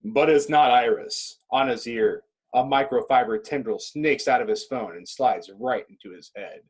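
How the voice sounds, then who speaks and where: A man with a husky voice reading stage directions as dramatic scripted narration. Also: mid-range voice, moderate pace, poor audio.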